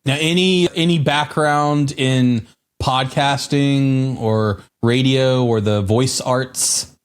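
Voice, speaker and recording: Easygoing podcast co-host, mid-pitched man. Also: takes it slowly, clear, resonant tone. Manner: easygoing, nostalgic, relaxed reminiscing. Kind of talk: casual podcast chat.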